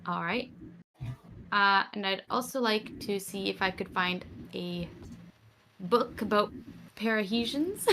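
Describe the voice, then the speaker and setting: A woman's voice: medium pitch, expressive, slow, long pauses. A woman chatting casually and a little hesitantly as a player in a tabletop roleplaying game, on a noisy mic. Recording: clearly noisy mic with clicks.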